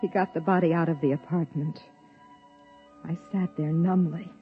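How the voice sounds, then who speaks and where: An actress playing a young wife and biologist who uncovers a dark secret, acting in a 1950s radio drama, urgent in argument and numb when narrating. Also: female voice, low-pitched, fairly even intonation, normal speed, over music, close to an everyday voice. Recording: dull lo-fi 1950s radio recording.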